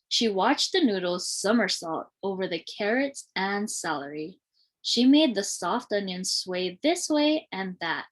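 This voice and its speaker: A woman reading a children's storybook aloud in a deliberate, expressive way, with clearly separated phrases. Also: medium pitch. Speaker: children's read-aloud reader.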